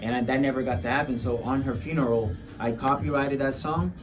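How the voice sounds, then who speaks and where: A man's voice: medium pitch, fairly even intonation, fairly quick, recorded from a distance, noisy background. A man from Puerto Rico telling his story as a musician in a casual, candid interview, using street slang and talking straight to the audience. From Puerto Rico, later urban Florida.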